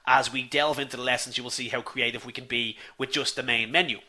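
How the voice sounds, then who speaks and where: Bright-voiced Dublin man teaching a live class. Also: mid-range voice, steady tone, quick pace. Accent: Dublin Irish. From Ireland. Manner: chatty, says "folks" often, reads viewer comments aloud. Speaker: online instructor.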